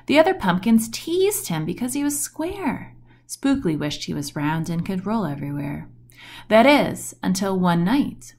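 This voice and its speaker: A woman reading a rhyming children's picture book aloud in an expressive, deliberate storytelling manner, stressing the rhymes and action words. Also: mid-range voice. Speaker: storybook reader.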